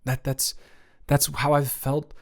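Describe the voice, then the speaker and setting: A man's voice: mid-range voice, little pitch movement, close to an everyday voice. A man playing a nervous, smitten roommate in an improvised romantic roleplay audio, rambling and stammering in intimate one-sided talk. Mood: earnest, nervous. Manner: stammering, rambling, giddy, halting. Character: nervous, smitten male roommate confessing love.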